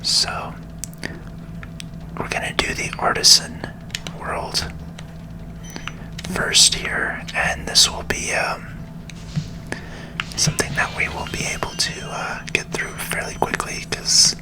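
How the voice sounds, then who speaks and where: Soft whispering ASMR gamer. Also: rough recording. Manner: slow, calming. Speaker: video game streamer. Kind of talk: ASMR gaming commentary.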